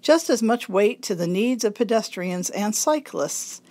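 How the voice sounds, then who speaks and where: A woman reads a magazine piece aloud in a raspy voice, thickened by congestion. She takes it slowly and carefully, shaping each word clearly for listeners who cannot read the page. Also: mid-range voice, animated, a little mellow. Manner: slow, careful enunciation. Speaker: volunteer reader of a local magazine. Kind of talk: reading a magazine article aloud.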